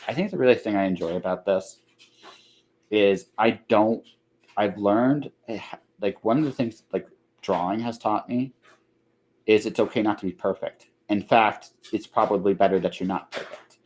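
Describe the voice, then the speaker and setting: A man's voice: lowish voice, moderate pace, a little mellow, rough recording. A man hosting a podcast in casual chat, rambling as he repeats and rephrases himself. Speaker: podcast host.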